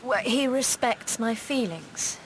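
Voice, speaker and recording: High-voiced, anxious young lady. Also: expressive, slow pace, slight background noise. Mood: anxious, insistent. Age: young adult. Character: anxious, lovelorn young lady clinging to her fiancé's innocence. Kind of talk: radio drama acting.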